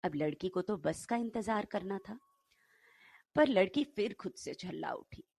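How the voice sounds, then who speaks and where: Slow, husky woman storyteller. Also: medium pitch, animated, darkish tone, dry recording, clean studio sound. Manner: unhurried, dramatic storytelling. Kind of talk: expressive scripted short-story narration.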